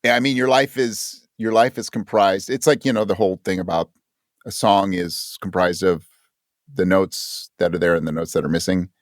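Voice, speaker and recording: A man with a fairly low voice speaks as a guest in a casual interview. He is reflective and halting, often rewording his point as he works it out. The sound is close and dry. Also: steady tone, no echo. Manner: thoughtful, halting, rephrases as he goes. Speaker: interview guest, audio drama creator.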